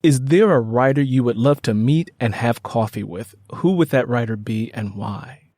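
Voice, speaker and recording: A man with a mid-pitched voice hosts a conversational interview in a dry, close sound. He talks in a halting, rambling way as he works toward his questions. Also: dark tone, no echo. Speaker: podcast host.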